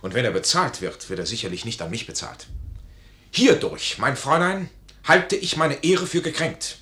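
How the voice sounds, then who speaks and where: An actor playing a proud, honour-bound army major in a classical radio play, with formal, grave and impassioned diction. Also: male voice, medium pitch, big pitch swings, normal speed, bright, forceful tone, roomy sound. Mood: aggrieved, proud.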